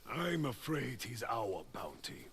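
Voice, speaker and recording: A sneering bounty hunter in a video game. His voice is sharp and creaky, swinging in pitch as he drawls out mocking threats. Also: sing-song, slow, a little hiss or hum, heavily acted. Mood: menacing, mocking. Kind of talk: video game dramatic acting.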